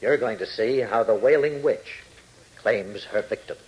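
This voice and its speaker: An actor playing a strained, desperate army major, grave and intense, in a 1940s radio adventure. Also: male voice, fairly low pitch, a little slow, darkish tone, lightly acted, low-quality recording. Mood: ominous. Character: strained middle-aged male army major, haunted, desperate.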